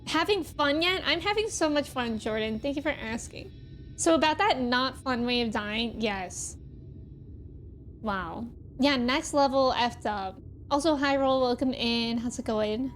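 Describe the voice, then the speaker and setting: A woman's voice: high-pitched, a little slow, soft background music. A woman livestreaming a video game, chatting casually and expressively as she reacts and reads chat messages aloud.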